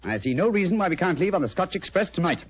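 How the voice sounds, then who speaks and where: An actor playing a keen, composed English gentleman detective, precise and formal, in an old-time radio mystery. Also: sits high, sing-song, fast. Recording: muffled old radio recording, hiss.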